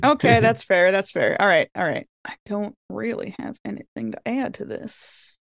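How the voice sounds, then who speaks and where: A woman's voice: mid-range voice, lively intonation, normal speed, gravelly, dry recording. Woman chatting at game table, dry sound. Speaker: tabletop role-playing game player. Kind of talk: casual game-table chat.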